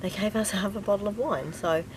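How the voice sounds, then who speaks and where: A woman's voice: mid-range voice, brisk. A middle-aged woman with a New Zealand accent, chatting casually as a travel vlogger. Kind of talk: casual chat to camera. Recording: noisy outdoor and vehicle location sound.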